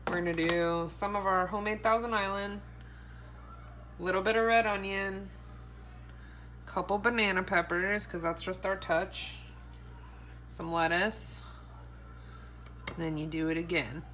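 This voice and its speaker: A woman's voice: medium pitch, steady tone, a little slow, pauses often, a bit bright. A woman in her thirties chatting to the camera in a casual, playful, giggly way, recorded on a phone in a home kitchen. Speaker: vlogger, host. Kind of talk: casual chat to camera, storytelling. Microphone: phone at arm's length. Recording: faint background noise, home phone recording.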